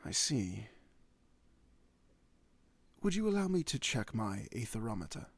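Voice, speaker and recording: A gentlemanly werewolf physician, played by a man, speaks in a husky, fairly low voice with lively rises and falls. He is formal and gently reassuring, choosing old-fashioned words in the manner of a fantasy ASMR roleplay. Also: a little slow, no room sound, heavily acted. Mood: inquisitive, calm. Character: courteous male werewolf doctor in a fantasy world.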